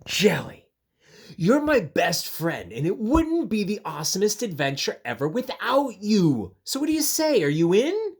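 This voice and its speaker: A man voicing a cheerful, excitable narwhal in a children's storybook, calling out loudly and gleefully. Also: sits high, a little slow, breathy edge, pitched above the performer's own voice, heavily acted. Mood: warm, eager. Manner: shouting, gleeful. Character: cheerful excitable narwhal, loud ahoy-shouter.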